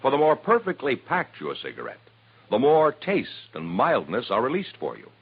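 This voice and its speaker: A man's voice: medium pitch, wildly swooping pitch, normal speed, slightly rough. An American man reading a 1950s radio commercial as an announcer. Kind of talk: 1950s radio advertising read. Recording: muffled vintage radio recording. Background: music.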